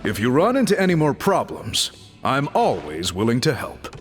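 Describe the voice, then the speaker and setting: Courteous male game ally, composed, medium pitch, lively intonation, faint music. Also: normal speed. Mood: gracious. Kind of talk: English video game voice acting.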